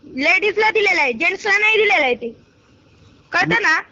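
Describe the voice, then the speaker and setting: Very high, sharp, scolding Marathi woman. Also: lively intonation, moderate pace, no room sound. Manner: curt, scolding, irritated. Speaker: beauty parlour worker receiving a call. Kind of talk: exasperated phone conversation. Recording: dull lo-fi phone line.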